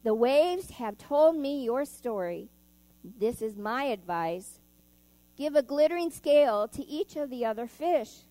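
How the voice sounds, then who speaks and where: A woman voicing a wise old female octopus from a children's storybook, deep and solemn. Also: animated, mellow, no room sound. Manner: solemn, measured advice.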